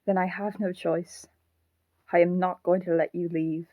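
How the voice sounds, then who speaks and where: Woman as slow, halting haunted marionette. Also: lowish voice, flat delivery, dark tone, dry recording, studio quality, natural voice. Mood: stern, menacing. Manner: halting, pleading, earnest. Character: sad, clingy haunted marionette, ghost of a young boy. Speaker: voice actor in a fan audio drama. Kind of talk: dramatic fan audio drama acting.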